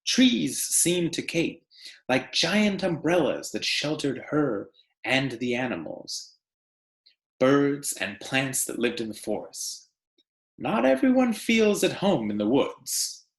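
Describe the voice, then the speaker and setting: A man teacher reading aloud to children, with deliberate storybook phrasing and casual delivery. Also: medium pitch, very animated, echoey room.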